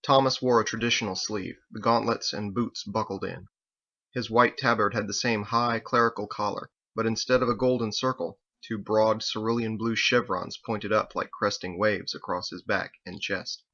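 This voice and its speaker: A man's voice: lowish voice, fairly even intonation, normal speed, sharp tone. A man reading scripted audiobook narration as the author of the story, on a slightly lo-fi recording. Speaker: author reading his own book.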